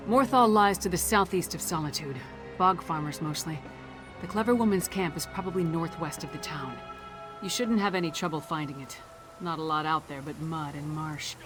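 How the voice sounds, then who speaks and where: Commanding female investigator for a fantasy game, actress: low register, brisk, dry, decisive, over clear background music. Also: close to an everyday voice. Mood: matter-of-fact. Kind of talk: video game character acting.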